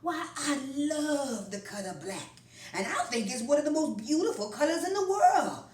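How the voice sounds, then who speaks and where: Old storybook grandpa, put on by a woman: folksy drawl, firm and loving, lively delivery, roomy distant recording. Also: high voice, normal speed, pitched above the performer's own voice. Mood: firm, warm.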